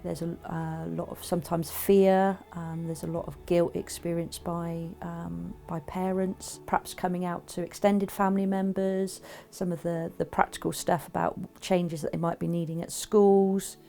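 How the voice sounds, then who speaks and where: A woman's voice: fairly low pitch, level tone, moderate pace, vocal fry, dark tone. A woman who supports families, explaining her role in an interview style. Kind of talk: interview-style account of work for a promotional film. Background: background music.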